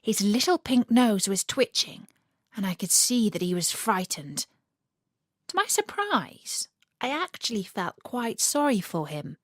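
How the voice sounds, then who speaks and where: A woman narrating a scripted children's story as storyteller and host, with an animated, theatrical and deliberate delivery. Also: mid-range voice, dry recording, studio quality.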